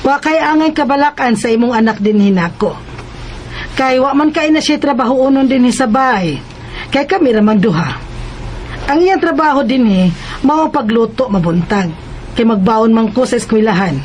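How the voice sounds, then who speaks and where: An actress playing a busy schoolteacher who employs a student helper, in a radio drama. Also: female voice, on the high side, expressive, normal speed, darkish tone, a little hiss or hum, natural voice. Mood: reassuring, businesslike. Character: obliging single schoolteacher employer, busy and bossy at home. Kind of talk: Cebuano radio drama acting.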